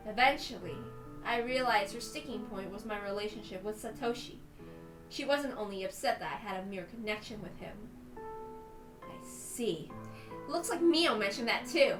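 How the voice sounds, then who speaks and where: A woman's voice: medium pitch, reverberant, poor audio. A woman reading a visual novel aloud as a dramatic first-person narration. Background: background music.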